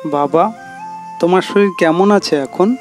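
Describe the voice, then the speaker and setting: A dutiful village boy in a cartoon , voiced by a man. He speaks earnestly and with concern in a fairly high voice, with faint music behind him. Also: measured pace. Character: dutiful, earnest village boy supporting his poor family.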